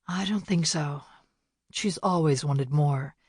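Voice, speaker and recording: A woman voicing a careful, empathetic sister who speaks quietly. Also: low-pitched, slow pace, slightly rough, no echo, clean studio sound, full character voice. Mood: gentle, careful.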